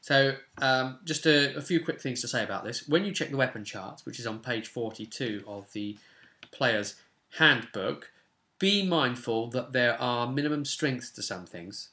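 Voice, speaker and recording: A man's voice: medium pitch, unhurried, bright, forceful tone. A man in his twenties with an English accent, chatting casually to viewers in a tutorial with wry asides. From England. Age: 20s. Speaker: host of tabletop roleplay channel. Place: small home room, indoors.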